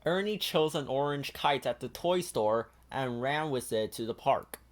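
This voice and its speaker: Man, children's story reader, expressive scripted narration, mid-range pitch. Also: unhurried.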